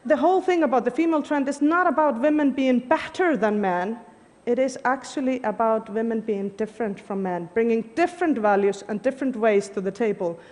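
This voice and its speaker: Woman in her 40s, earnest persuasive talk, bit high voice, big echoey hall. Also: a little fast, a little mellow. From Iceland. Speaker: conference speaker. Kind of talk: prepared public talk. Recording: long hall reverb.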